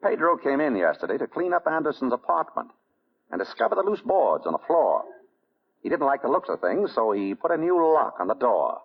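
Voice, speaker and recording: Muffled old-time radio sound carries an urbane gentleman sleuth. His voice is husky and swings easily in pitch as he questions with dry, wry control, in polished 1940s radio style. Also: very animated, brisk, exaggerated voice. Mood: probing, controlled. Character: suave, wry gentleman sleuth running a murder investigation. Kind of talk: 1940s radio drama acting.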